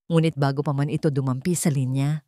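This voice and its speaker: A woman's voice: rumbling low, steady tone, quick pace, mellow, dry recording, clean studio sound. A woman with a Filipino accent reading a dramatic scripted story as an audiobook narrator.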